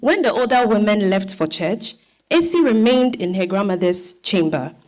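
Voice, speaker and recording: A woman's voice: medium pitch, lively intonation, moderate pace, darkish tone. A woman with a Ghanaian accent reading scripted narration for a stage play.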